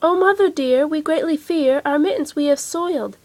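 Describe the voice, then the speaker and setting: Woman as a high, sing-song little kitten. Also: moderate pace, a little mellow, close to an everyday voice. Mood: sorrowful. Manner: sing-song mewing delivery. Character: three little kittens, apologetic and eager to please.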